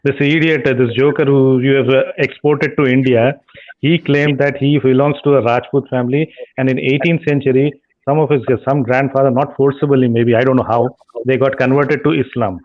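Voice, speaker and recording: A man's voice: medium pitch, fairly even intonation, a little fast, muffled recording. A man speaking on a livestream call, blunt and scornful in a heated casual chat. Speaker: caller.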